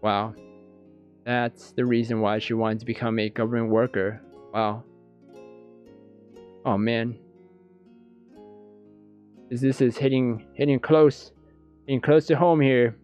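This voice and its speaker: A man with a medium-pitched voice narrates a visual novel for a gaming video. His own asides come out halting and stammered. The audio is a little lo-fi, with soft guitar music behind him. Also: fairly even intonation. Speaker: gaming YouTuber reading a visual novel aloud. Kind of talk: let's play narration with off-the-cuff reactions. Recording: dull lo-fi audio. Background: guitar background music.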